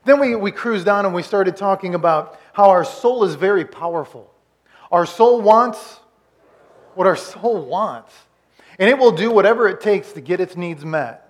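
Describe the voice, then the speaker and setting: A man's voice: high-pitched, expressive, normal speed. A man in his forties preaching a conversational sermon as pastor, often restarting and repeating words. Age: 40s. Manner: conversational, repeats words, puts on quoted voices. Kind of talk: sermon recap.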